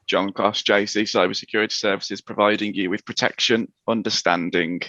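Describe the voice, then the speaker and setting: Very deep man with bright, dull-lo-fi sound. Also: steady tone. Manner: halting, self-deprecating. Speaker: business owner. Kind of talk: short self-introduction. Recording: dull lo-fi call audio.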